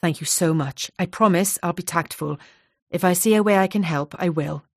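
A woman's voice: fairly low pitch, normal speed, no room sound, studio quality. A woman voicing a determined young woman who questions people tactfully and earnestly. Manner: earnest, courteous, probing.

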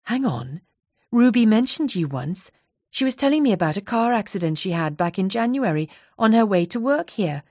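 A woman's voice: mid-range voice, very animated, moderate pace, darkish tone, dry recording, studio quality, voice pushed up. A woman voicing a determined young woman who questions people tactfully and earnestly. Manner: earnest, courteous, probing.

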